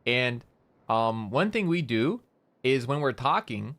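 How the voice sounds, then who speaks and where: Young canadian guy streaming, nasal and kinda bright, slight rural accent, rambly and sweary. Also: medium pitch, big pitch swings, slow. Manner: rambling, swears a lot, self-mocking. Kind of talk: casual gaming stream chat.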